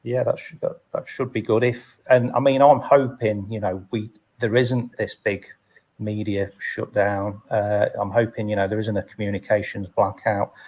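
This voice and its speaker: A man's voice: fairly low pitch, level tone, moderate pace, darkish tone, slightly rough. A British man hosting a casual live interview, speaking in a hesitant, self-effacing way and repeating words. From United Kingdom. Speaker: host of a live chat.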